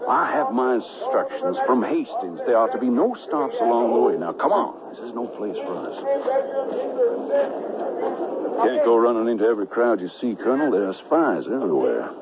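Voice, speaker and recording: A man's voice: mid-range voice, very animated, a little fast, hardly any pauses, over a noisy crowd, close to an everyday voice. An actor playing a cautious, businesslike frontier man in a radio western, guarded and urgent in manner. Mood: urgent, uneasy. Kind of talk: radio western acting and narration. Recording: narrow-band vintage radio drama, music behind.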